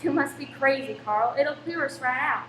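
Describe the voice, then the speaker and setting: Radio play lead: exasperated, businesslike woman actress, high voice, roomy sound with faint noise. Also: normal speed, close to an everyday voice. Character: brisk, exasperated woman running a cinema. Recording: echoey room, faint background noise.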